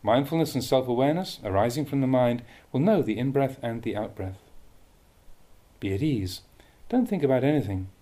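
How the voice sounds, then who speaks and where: A man reading a Buddhist meditation teaching aloud with measured, deliberate phrasing. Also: mid-range voice, expressive, mellow. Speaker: Buddhist monk reading a translated dhamma talk.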